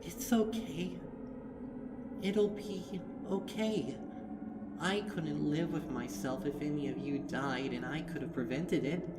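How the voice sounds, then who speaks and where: Guy doing a shy gentle girl pegasus, raised pitch, hesitant, loud noise under it. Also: takes it slowly, reverberant, far from the mic. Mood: tender resignation. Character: timid, gentle, self-sacrificing young female pegasus. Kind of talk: dramatic fan fiction reading.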